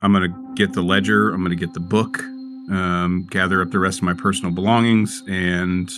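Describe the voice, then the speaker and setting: A man playing a tabletop game, casually describing his character's actions in a halting, searching way. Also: deep voice, little pitch movement.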